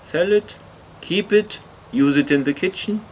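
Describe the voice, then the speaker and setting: A man hosts an explanatory hobby video at a slow pace. His phrasing is hesitant and slightly awkward. A faint layer of noise sits behind his voice. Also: sits high. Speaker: video host, hobby motorcycle customizer. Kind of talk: explanatory vlog narration.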